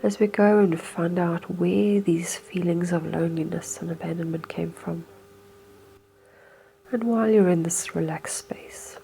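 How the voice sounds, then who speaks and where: A woman guides a meditation in a slightly low, mellow voice. Her delivery is hushed and soothing, with unhurried, hypnotic phrasing and a gravelly creak at the edges. Faint music plays behind her. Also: steady tone, slight background noise, poor audio.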